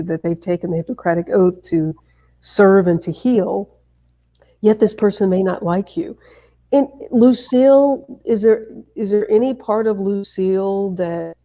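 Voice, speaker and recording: A woman with a fairly low, mellow voice hosts a conversational interview. She puts her questions in halting, thoughtful phrases. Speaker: interview host.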